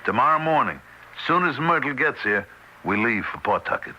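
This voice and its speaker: An actor playing a plain-spoken, weary husband in a radio drama, blunt and conversational. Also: male voice, medium pitch, very animated, moderate pace, sharp tone, low-quality recording. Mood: practical, patient. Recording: old radio recording, faint noise.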